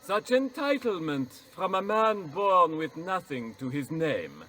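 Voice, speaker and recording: A haughty, high-voiced French baron. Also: male voice, very animated, unhurried, bright, forceful tone, far from the mic, full character voice. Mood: disdainful. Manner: condescending, sneering, drawling. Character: haughty French baron commander, smug, cowardly villain. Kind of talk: dramatic video game acting.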